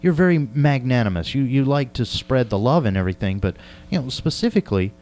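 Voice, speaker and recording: A man with a medium-pitched, animated voice hosts a radio interview. He chats informally, stumbling over and repeating words as he goes. His intonation moves up and down a lot. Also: brisk. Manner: hesitant, stammering, informal. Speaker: radio show host interviewing a guest. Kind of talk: casual chat.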